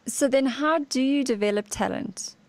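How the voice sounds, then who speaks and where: A woman's voice: high voice, normal speed, no room sound. A woman hosting a talk show, reading a polished introduction and putting questions to her guest. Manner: clear, polished presenter delivery. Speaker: podcast host.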